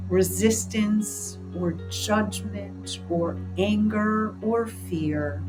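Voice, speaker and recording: Female meditation guide, mid-pitched, encouraging and informal, speaking to a group with clear music underneath. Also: rough recording.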